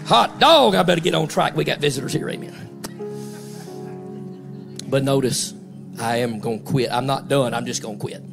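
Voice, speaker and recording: A man's voice: mid-range voice, fairly even intonation, normal speed, gravelly, pauses often, over music. A man in his forties with a Southern US accent, preaching a fiery, folksy sermon as pastor on a handheld mic, moving between plain talk and emphatic declarations. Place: large church stage, indoors. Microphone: handheld microphone.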